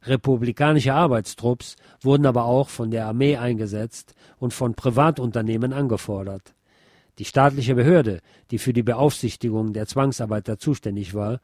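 A man's voice: medium pitch, darkish tone, dry recording, clean studio sound. A man reading a history book aloud as scripted narration for a podcast. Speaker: podcast host and reader.

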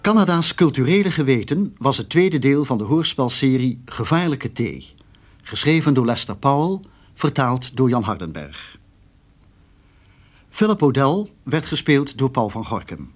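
A man with a husky voice reading radio play credits in a measured, formal announcer style. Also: mid-range voice, sing-song.

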